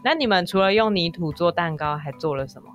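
A woman with a Taiwanese Mandarin accent co-hosting a children's podcast, using simple, friendly phrasing and soft sentence particles for young listeners. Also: on the low side, lively intonation, fast talker, soft background music. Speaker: children's story podcast co-host.

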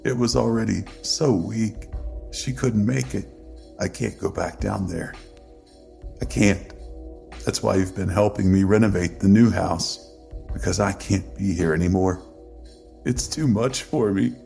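A man playing a drunk, grief-stricken uncle and secretive former exorcist in a horror story, speaking in a halting mutter with a gravelly voice. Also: a little mellow, faint music behind. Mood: grieving, desperate. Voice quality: gravelly, creaky low voice. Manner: slow, halting, muttering delivery. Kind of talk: dramatic horror story acting.

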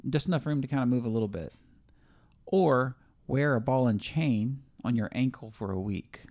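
A man's voice: mid-range voice, moderate pace, a little mellow. Hesitant man thinking aloud. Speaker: podcast co-host. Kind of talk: casual chat.

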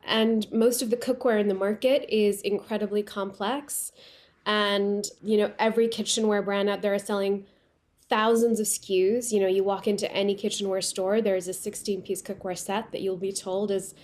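A woman's voice: mid-range voice, little pitch movement, moderate pace, a little mellow. A woman from Pakistan whose first language is Urdu, a company founder speaking as a guest in a remote interview and telling her story with frequent restarts. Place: remote call from home.

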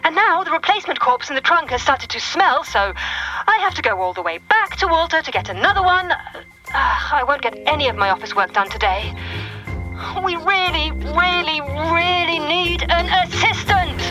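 A woman's voice: high-pitched, animated, normal speed, sharp tone, faint music behind, low-quality recording. An actress playing a frazzled, overworked woman leaving an exasperated voicemail in an audio drama. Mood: exasperated, weary. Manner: exasperated, harried. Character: frazzled, overworked woman working for a shady agency.

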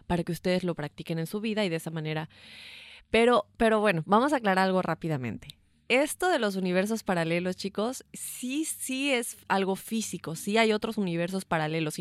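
A woman's voice: mid-range voice, dry recording, clean studio sound. A woman with a Mexican accent co-hosting a podcast, explaining science in a chatty way, laughing easily and restarting words. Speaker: podcast co-host. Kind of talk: casual explainer chat.

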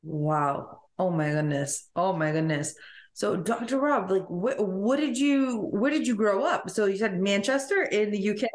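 A woman's voice: medium pitch, unhurried. A woman hosting a podcast interview, effusive and full of enthusiastic praise. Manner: effusive, gushing. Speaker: podcast host. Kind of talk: casual interview.

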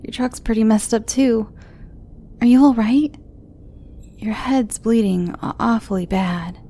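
A woman voicing a shy, clingy teenage girl who stammers and speaks in breathy half-whispers. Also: on the high side, measured pace, warm tone, slight background noise, pitched above the performer's own voice. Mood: eager, worried. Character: timid sweet teenage girl, eager and clingy.

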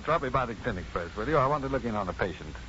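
An actor playing an assured, wry, kindly physician and professor in a 1950s radio drama, speaking in a measured, dryly witty, deliberate way. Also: male voice, mid-range voice, animated, pushed and bright. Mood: calm, evasive. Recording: muffled, faintly noisy 1950s radio broadcast.

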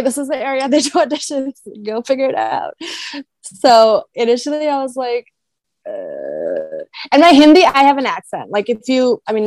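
A woman's voice: fairly high pitch, darkish tone, dry recording. Chatty American woman, dry sound. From United States. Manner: chatty, lots of "like", acts out quoted people. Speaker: podcast guest. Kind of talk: casual conversation.

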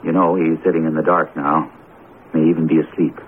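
An actor in a radio drama playing an earnest, determined teacher with deliberate, theatrical phrasing. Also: male voice, extremely low pitch, flat delivery. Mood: grimly determined. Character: jumpy, determined male teacher confronting a cursed statue. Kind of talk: vintage radio drama acting. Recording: tinny, narrowband old radio broadcast, noisy.

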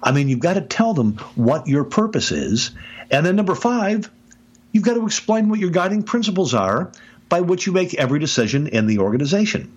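A man's voice: on the high side, a little fast, breathy edge, lo-fi sound. A man hosting a podcast, giving emphatic business advice in a conversational way and often saying "I mean.". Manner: emphatic, animated, repeats "I mean". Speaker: podcast host, business speaker.

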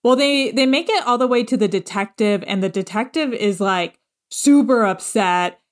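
A woman's voice: fairly high pitch, moderate pace. A woman co-hosting a casual discussion, steadily summarizing episode events. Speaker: podcast co-host. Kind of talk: casual recap of a show.

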